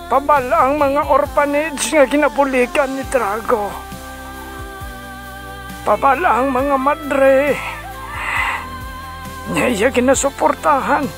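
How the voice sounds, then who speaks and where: A man's voice: extremely high pitch, over music, heavily acted. A man playing a solemn commander mourning a fallen hero in an audio drama. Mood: solemn, regretful. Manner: solemn, deliberate.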